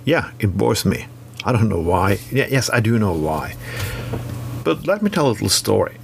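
Low-voiced Norwegian man telling a story. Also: big pitch swings, moderate pace, vocal fry, a little hiss or hum. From Norway. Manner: rambling, repeats himself, swears freely. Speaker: podcast co-host presenting a segment. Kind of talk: casual storytelling monologue.

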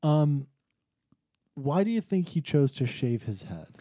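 A man's voice: a little high, sing-song, unhurried, warm tone, dry recording. A man co-hosting a casual conversation, putting in questions and wry, wordy remarks with dry humour. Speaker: podcast co-host. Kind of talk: casual chat, interjecting and questioning.

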